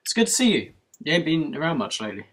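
A man's voice: fairly high pitch, big pitch swings, quick pace, fairly bright tone. Man playing an awkward, friendly young student. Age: young adult. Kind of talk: casual acted dialogue.

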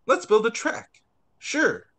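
Man reading as an eager little boy, lively. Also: very high-pitched, takes it slowly, voice pushed up, exaggerated voice. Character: eager little boy playing with toy trains.

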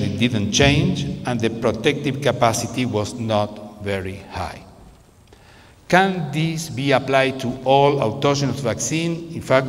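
A man in his fifties giving a conference keynote on a handheld microphone, speaking in non-native phrasing with frequent restarts. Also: mid-range voice. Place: large conference room with curtains. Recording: long hall reverb.

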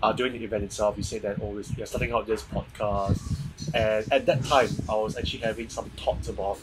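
A man's voice: on the low side, steady tone, brisk, background noise. Young Singaporean man on a video call. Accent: Singaporean English. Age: 20s. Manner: chatty, frequent restarts and fillers. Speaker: podcast host. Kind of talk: casual video-call chat. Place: home room with shelves. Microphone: earphone mic on video call.

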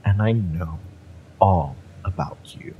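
A man playing a fiery, spurned mercenary ex-bodyguard in an audio roleplay, mocking and drawling with menacing intimacy and dramatic pauses. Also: low voice, phone-quality sound, a little hiss or hum. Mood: menacing, smug. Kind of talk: scripted audio roleplay addressed to the listener.

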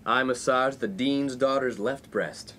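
A man's voice: mid-range voice, a little slow, sharp tone, a little hiss or hum. A young man playing a smug, pompous, oblivious college playboy in a comedy radio play. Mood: smug, unfazed. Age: young adult. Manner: pompous, self-important. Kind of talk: 1950s-set comedy radio play acting.

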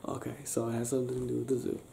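A young man doing an improvised ASMR boyfriend roleplay, talking softly and affectionately in a breathy, teasing, near-whispered way. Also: mid-range voice, monotone, rapid-fire. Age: young adult. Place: small bedroom, lying on a bed indoors. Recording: roomy sound with faint background noise.

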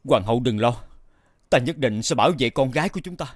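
A man playing a formal, protective king and worried father in an animated fairy-tale dub. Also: medium pitch, fast talker, natural voice. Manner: formal, stately. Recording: music bed under the voice.

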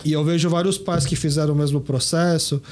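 A man in his thirties talks at a relaxed podcast pace, looping through anecdotes and restarting his sentences often. His voice sits a little higher than most men's. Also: a little fast, slight background noise. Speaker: podcast host. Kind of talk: casual chat.

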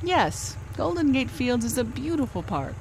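Woman doing a wry no-nonsense private eye, brisk, old-time radio style. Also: high voice, big pitch swings, a little mellow, slight background noise. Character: Candy Matson, brisk wisecracking female private eye. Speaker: narrator and lead detective. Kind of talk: live 1950s radio drama acting.

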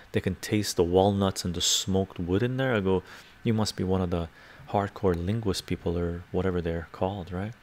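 A man's voice: deep voice, darkish tone. An older man from Canada hosting a casual livestream from his home study on a lapel mic, chatting and answering viewer comments aloud. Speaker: livestream host reading chat.

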